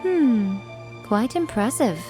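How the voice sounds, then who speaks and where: A woman voicing a sly, elegant fox-spirit priestess in a video game, poised and teasing with cool menace, over a clear hiss. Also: fairly high pitch, expressive, slow pace, over music. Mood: amused, curious.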